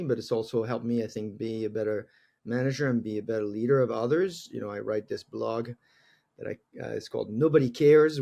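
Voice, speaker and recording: Male interview guest, 40s, French accent, dark gravelly timbre, reflective. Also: medium pitch. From France. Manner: earnest, reflective, laughs easily. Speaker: guest, tech executive. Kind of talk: conversational interview answers.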